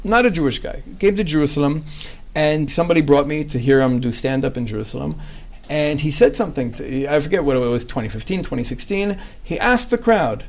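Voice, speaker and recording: A man's voice: a little high, creaky, a little mellow. A man hosting a podcast interview, talking earnestly and at length, with many "like" fillers. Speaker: podcast host. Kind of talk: conversational interview.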